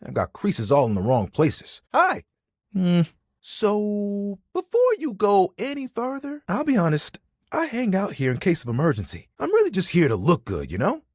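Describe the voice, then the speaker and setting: A man's voice: high-pitched, wildly swooping pitch, normal speed, no echo, clean studio sound, heavily acted. A man voicing a friendly, vain, dramatic living towel in a video game, chatty and playful with an easily wounded manner. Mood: awkward, confiding, then fussy, defensive. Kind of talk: animated, conversational game character acting.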